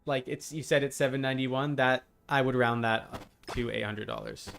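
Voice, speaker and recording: A man's voice: mid-range voice, little pitch movement, moderate pace, vocal fry, fairly bright tone, a little hiss or hum. A man live streaming a game, talking casually and bluntly with exasperated, sweary asides. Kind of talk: casual gaming stream chat. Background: game music.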